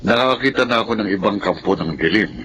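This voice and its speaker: A grave village captain in a horror radio drama. His voice is fairly low yet bright, with solemn authority. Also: animated, fast, low-quality recording. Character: solemn village captain, middle-aged male official.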